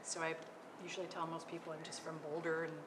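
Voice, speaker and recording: Middle-aged woman, low voice, lots of ums, panel talk in a boomy gallery. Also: monotone, fast talker. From California. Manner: hesitant, many ums. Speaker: panel artist. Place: art gallery. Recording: echoey gallery with long reverb and noise.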